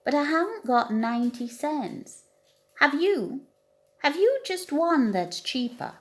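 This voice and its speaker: A woman's voice: high voice, big pitch swings, slow, voice pushed up, exaggerated voice. A woman voicing an earnest, secretive male cat in a children's storybook, speaking expressively. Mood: wistful. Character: earnest, secretive young male cat, thoughtful gift-giver.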